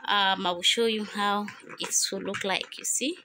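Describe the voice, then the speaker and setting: A woman's voice: mid-range voice, fairly even intonation, normal speed, bright, forceful tone, a little hiss or hum. A young woman making a home beauty vlog, chatting to viewers as she works, recorded on a phone with no microphone. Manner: chatty, repeats herself, addresses viewers as guys. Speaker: beauty vlogger. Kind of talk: casual how-to vlog. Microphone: phone camera, no microphone.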